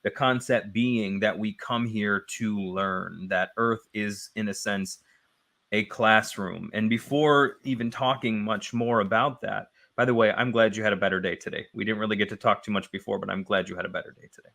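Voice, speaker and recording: A man with a somewhat low voice co-hosts a reflective spiritual discussion. His voice is a little scratchy and sniffly. He works through ideas by repeating key phrases. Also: fairly even intonation, normal speed, creaky, pushed and bright. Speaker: co-host.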